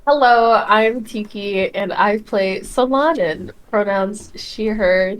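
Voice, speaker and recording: Slow-talking giggly woman player. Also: medium pitch, low-quality recording.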